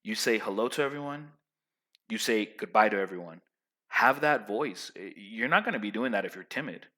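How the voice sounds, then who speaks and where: A young man giving casual social advice to his viewers in a loud, emphatic, rambling way, full of restarts. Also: on the low side, lively intonation, moderate pace.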